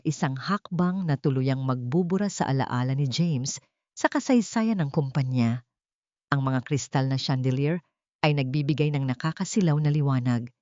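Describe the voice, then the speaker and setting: A woman's voice: deep voice, a little mellow, no echo, muffled recording. A woman narrating a scripted drama audiobook in a theatrical, suspenseful way.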